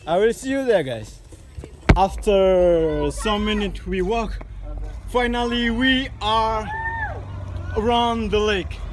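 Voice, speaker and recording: Casual male vlogger, young adult, non-native English, unhurried delivery. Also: sits high, noisy background. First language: not English. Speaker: vlogger, host. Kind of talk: casual travel vlog chat.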